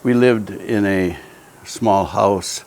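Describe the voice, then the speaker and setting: Older guy raised in japan, low voice, slow and halting, faint music under it. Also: a little mellow, slight background noise. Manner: hesitant, many ums and restarts. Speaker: interviewee telling his life story. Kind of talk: reflective personal storytelling.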